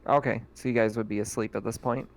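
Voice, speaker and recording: A man running a tabletop roleplaying game as game master, describing scenes in a relaxed way with many fillers. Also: on the low side, fast.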